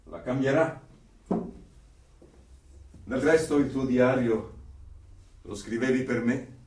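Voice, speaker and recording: A man acting a measured, enigmatic, knowing figure of authority in a radio drama, poetic and deliberate. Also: medium pitch, distant mic, background noise. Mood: calm, knowing. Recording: echoey, off-mic, faint music behind.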